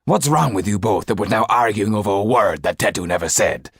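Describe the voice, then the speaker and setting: A man's voice: fairly low pitch, fairly quick, vocal fry, slightly rough, no room sound, studio quality, voice pushed up. A man voicing an anxious, theatrical, self-pitying theatre owner in a storybook, fretful, exclamatory and mournful. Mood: exasperated.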